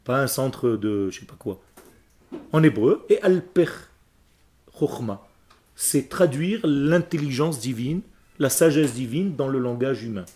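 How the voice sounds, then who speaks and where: A man from Israel, a Hebrew speaker, giving an emphatic, animated lecture to a group. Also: medium pitch, a little slow. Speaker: religious teacher.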